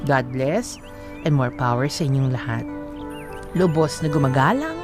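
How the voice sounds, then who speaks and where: A woman's voice: extremely low pitch, sing-song, a little slow. A woman with a Filipino accent co-hosting a radio show, reading letters and giving advice in an emphatic way. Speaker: radio co-host. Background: background music.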